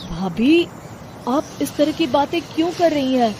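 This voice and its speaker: A woman's voice: high voice, animated, unhurried, heavy background noise, voice pushed up. Woman playing a childish, wheedling young man. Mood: pleading. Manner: wheedling, casual, protesting. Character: childish, pleading young man who adores his sister-in-law. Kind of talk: cartoon moral story acting.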